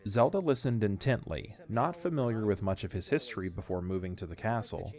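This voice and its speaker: A man's voice: on the low side, fairly quick, a little mellow. A man reading scripted story narration for an audio drama.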